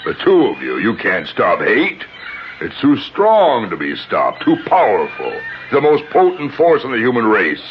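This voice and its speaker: An actor playing a cruel, scornful, commanding taskmaster of the underworld in a 1970s radio drama. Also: male voice, fairly low pitch, wildly swooping pitch, unhurried, soft background music. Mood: scornful, menacing. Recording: muffled, noisy 1970s radio recording.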